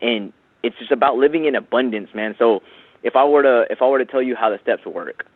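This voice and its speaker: A man on a phone, giving a casual, slangy, persuasive sales pitch peppered with man and bro. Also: brisk, no room sound. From San Francisco Bay Area, USA. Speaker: phone sales representative for a self-help program. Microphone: telephone. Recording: tinny narrowband phone line.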